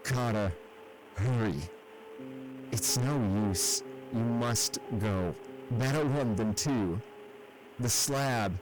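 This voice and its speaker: A man puts on a frightened young man trapped below ground, his voice pushed up high and thin. He pleads in hushed, strained tones over soft music. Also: expressive, takes it slowly, low-quality recording. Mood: resigned.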